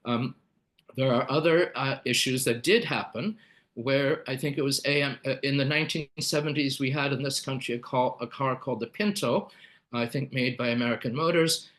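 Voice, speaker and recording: A man's voice: medium pitch, moderate pace, a bit bright. Man presenting a webinar, explanatory delivery with fillers and self-corrections. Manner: explanatory, many fillers and restarts. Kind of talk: live webinar talk.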